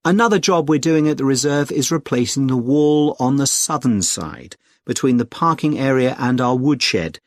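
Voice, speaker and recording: An actor as a helpful British club secretary, dry sound. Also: male voice, medium pitch, expressive, dry recording, natural voice. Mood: helpful, informative. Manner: polite, explanatory, measured. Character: friendly, helpful male secretary of a conservation group. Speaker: actor in a listening test dialogue. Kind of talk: scripted everyday conversation, clearly enunciated.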